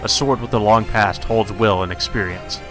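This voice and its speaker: A man's voice: on the low side, steady tone, moderate pace, over music. A man reading a visual novel aloud as narration, in the manner of a let's play host.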